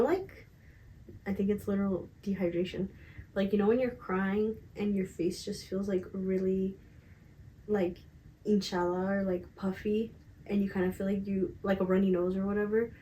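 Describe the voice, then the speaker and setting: A woman in her twenties chatting casually and candidly to camera as a vlogger, emphatic and slangy, with a slightly raspy voice. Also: medium pitch, steady tone, normal speed, mellow, poor audio. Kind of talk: casual confessional chat to camera.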